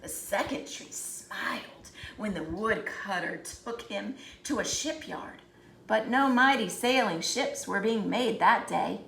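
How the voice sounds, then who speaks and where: A woman narrating a children's storybook aloud with deliberate, dramatic phrasing. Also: mid-range voice, lively intonation, vocal fry, fairly bright tone. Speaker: storybook reader. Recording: roomy echo, faint background noise.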